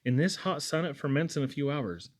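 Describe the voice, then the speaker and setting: A man's voice: fairly high pitch, expressive, fairly quick. A man voicing an earnest young Irish lad who tells of things in a folksy, wonder-struck, wordy way.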